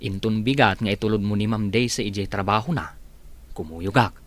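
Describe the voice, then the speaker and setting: A man's voice: fairly low pitch, fairly quick, lightly acted. A man playing a deferential, kindly and scheming household servant in an Ilocano radio comedy-drama. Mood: earnest, persuasive.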